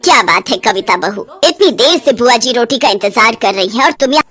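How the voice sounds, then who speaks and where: A woman voicing a stern but affectionate older mother-in-law in a family storybook tale, speaking in a measured, matronly manner. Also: on the high side, lively intonation, a bit bright, pitched below the performer's own voice. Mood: scolding.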